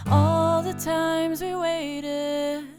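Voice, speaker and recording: Woman singing high over acoustic guitar. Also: little pitch movement, extremely slow. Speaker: singer-songwriter. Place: recording studio. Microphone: studio microphone.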